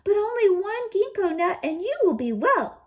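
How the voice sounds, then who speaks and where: Woman as a high-pitched, sweetly scheming clever girl. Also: very animated, normal speed. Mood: feigned helplessness. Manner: sweet, coaxing, pretend innocence.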